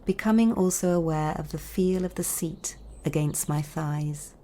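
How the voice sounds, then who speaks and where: A woman narrating a guided body scan meditation in a calm, soothing, measured manner with long pauses. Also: sits low, slight background noise.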